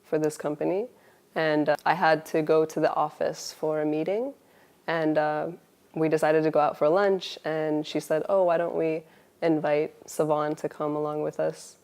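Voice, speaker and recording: Low, gravelly young woman. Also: little pitch movement, darkish tone. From Finland. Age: 20s. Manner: laughs often, halting restarts. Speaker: interviewee. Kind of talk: casual interview, personal story.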